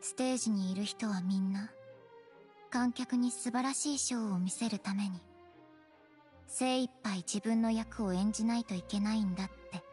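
A woman voicing a composed young magician's assistant in an anime game, with a deadpan, measured and quietly pointed delivery. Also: mid-range voice, natural voice. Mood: solemn. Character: cool, composed young cat-eared magician's assistant girl. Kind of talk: Japanese anime game voice acting. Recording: faint music behind the voice.

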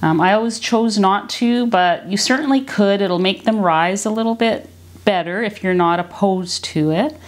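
A woman's voice: mid-range voice, normal speed. An older woman, around her sixties, casually explaining as she demonstrates cooking in a conversational way. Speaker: cooking video host. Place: home kitchen, indoors.

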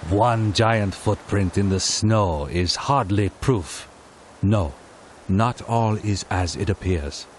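A man playing a solemn, wise old village lama who speaks gravely and cryptically in a children's game. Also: fairly low pitch, takes it slowly, a little hiss or hum. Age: older. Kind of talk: children's educational game acting.

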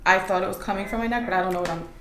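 A woman's voice: mid-range voice, steady tone, very fast, a bit bright, faint music behind. A woman in her twenties chatting casually as a patient, answering questions about how she feels. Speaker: patient, social media influencer. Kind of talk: casual chat during treatment. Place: small indoor treatment room, lying or seated. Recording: echoey room, faint background noise.

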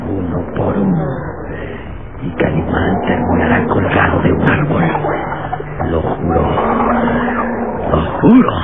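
A man's voice: low voice, sing-song, slow, long pauses, exaggerated voice. An actor playing a brutal, vengeful fleeing foreman in a melodramatic, gasping radio drama style. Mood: furious, vengeful. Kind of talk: Mexican radionovela acting. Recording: tinny, very noisy old radio recording.

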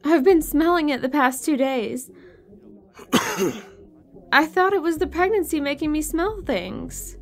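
A woman's voice: high-pitched, expressive, a little slow, long pauses, a little hiss or hum. A woman playing an anxious, pregnant young wife in a horror audio drama, hesitant and pleading. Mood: uneasy.

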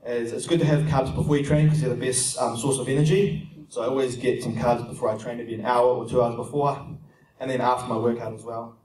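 A young man with a New Zealand accent answers audience questions in a steady, plain explaining manner, often pausing on "um", speaking into a handheld mic in a large conference room. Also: medium pitch, recorded from a distance, a little hiss or hum. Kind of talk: casual Q&A seminar talk. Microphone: handheld mic, heard from a distant camera. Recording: echoey room heard from afar.

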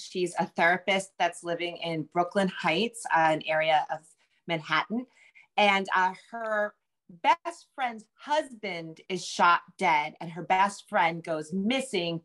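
A middle-aged woman answering as a guest author, conversational and explanatory, often halting to rephrase herself. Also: fairly low pitch, a bit bright. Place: home room with bookshelves, indoors. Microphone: video call.

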